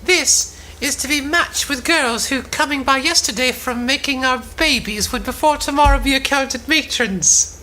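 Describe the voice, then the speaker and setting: A woman reading as a bright, haughty, quarrelsome gentlewoman. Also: high voice, moderate pace, hardly any pauses, slight background noise, low-quality recording. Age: young adult. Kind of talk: cold read of Elizabethan stage comedy.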